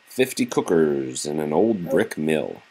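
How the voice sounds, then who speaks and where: A man reads a picture book to young children in a very low voice with a husky grain. His delivery is animated and moves freely up and down as he tells the story, with a patient, casual way of speaking to his listeners. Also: a little slow.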